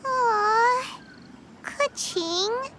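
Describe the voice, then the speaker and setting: A woman voicing a tiny, eager, childlike fairy companion with a squeaky voice in a video game cutscene. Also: drawn-out words, background noise, full character voice. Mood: concerned, doubtful. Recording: loud music behind the voice. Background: loud background music.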